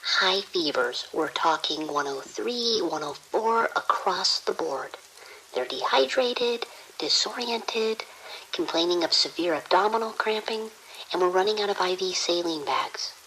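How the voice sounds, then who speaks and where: A woman's voice: lowish voice, lively intonation, unhurried, pushed and bright, slight background noise, rough recording. A woman playing an overwhelmed hospital nurse in an audio drama, reporting in a clipped, urgent way. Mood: overwhelmed, grave. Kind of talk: scripted audio drama dialogue.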